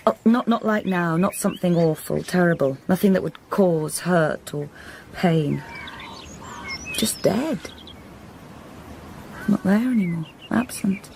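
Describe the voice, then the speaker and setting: An actress playing a troubled, soft-spoken wife and mother in a radio drama, confiding in hesitant, halting phrases. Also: on the low side, lively intonation, creaky, slight background noise, heavily acted. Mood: guilty, confessional. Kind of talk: naturalistic radio drama acting.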